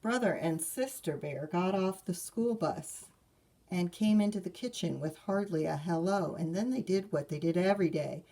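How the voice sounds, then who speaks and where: A woman reading a picture book aloud to children as a librarian, expressive and measured, with asides to listeners. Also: lowish voice, poor audio. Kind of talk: children's storybook read-aloud.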